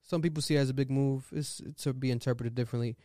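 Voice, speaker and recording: Interview host, man; smooth clear voice, slangy and hyped, dry close mic. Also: mid-range voice, steady tone, quick pace, dark tone, dry recording. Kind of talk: casual interview talk.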